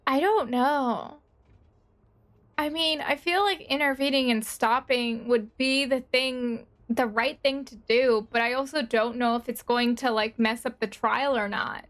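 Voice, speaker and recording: A woman's voice: fairly high pitch, measured pace, a bit bright. A woman streamer giving casual commentary as she plays a game, thinking aloud in a hesitant way.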